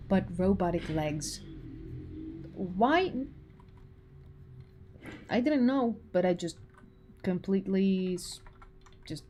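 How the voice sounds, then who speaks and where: A woman's voice: fairly low pitch, mellow, slight background noise. A woman in her twenties chatting casually with her livestream viewers, teasing and full of filler words. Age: 20s. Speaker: animator streaming to chat.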